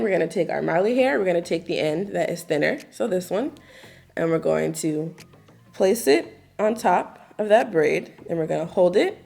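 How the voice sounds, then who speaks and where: A young woman with a low, gravelly voice walks through a hair tutorial in a relaxed, chatty way, full of likes and ums. Her voice is a touch raspy from just waking up. Soft music plays faintly behind her. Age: 20s. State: slightly raspy morning voice. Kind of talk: casual step-by-step tutorial.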